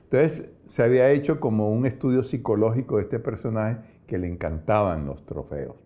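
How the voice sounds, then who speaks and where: A man recalling events in a halting, conversational interview style. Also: lowish voice, highs cut off.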